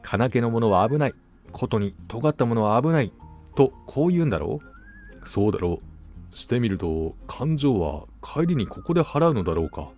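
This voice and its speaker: A man voicing a gullible, self-assured gentleman hunter in a storybook, musing aloud conversationally. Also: medium pitch, very animated, moderate pace, highs cut off, faint music behind. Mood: musing, satisfied. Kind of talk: storybook dialogue acting.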